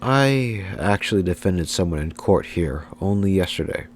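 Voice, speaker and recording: A man's voice: sits low, expressive, normal speed, noisy background. A man reading an earnest young lawyer. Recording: game music behind.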